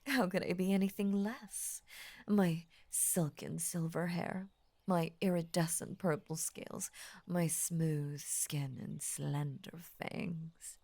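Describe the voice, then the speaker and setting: A slow, low-pitched snake woman, played by a woman, murmurs in a close, dry intimate roleplay. She is ancient, vain and murderously devoted, with grand formal phrasing that often sinks into breathy near-whispers. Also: fairly even intonation, dark tone, no room sound. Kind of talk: intimate roleplay audio acting.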